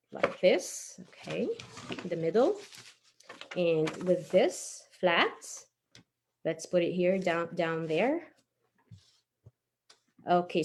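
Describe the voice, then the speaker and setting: A woman's voice: on the low side, darkish tone, a little hiss or hum. A woman presenting a children's craft tutorial step by step, informal and encouraging as she talks while working with her hands.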